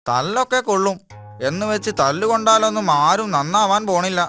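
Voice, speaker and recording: A cheeky young cartoon animal, voiced by a woman, teases and boasts in a carefree, bossy way. Music plays clearly behind the voice. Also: mid-range voice, lively intonation, a little fast, fairly bright tone, close to an everyday voice. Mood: boastful, carefree. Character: cheeky, boastful young mischief-making animal friend with a tail. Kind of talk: children's cartoon voice acting.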